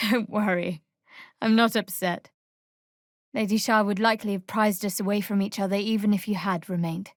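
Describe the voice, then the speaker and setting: An actress playing a guarded, sardonic young female cleric of a dark goddess in a video game, speaking in a dry, measured, wry way. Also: mid-range voice, steady tone, no echo, close to an everyday voice. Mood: wistful. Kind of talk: video game character dialogue.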